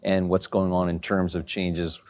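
Interviewer, man, low voice, dry close mic, conversational leading questions. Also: little pitch movement, measured pace, a little mellow, dry recording. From Maryland. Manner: leading questions, seeks agreement.

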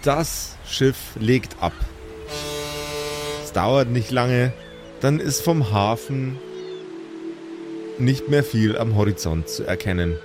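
A man's voice: mid-range voice, slow, long pauses, music in the background. A man narrating as game master of a tabletop role-play, playful with words.

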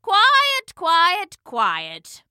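A woman's voice: sits high, wildly swooping pitch, measured pace, sharp tone, no room sound, studio quality, voice pushed up, heavily acted. A woman voicing a patient old male hornet who keeps the peace with authority in a children's story. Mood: commanding.

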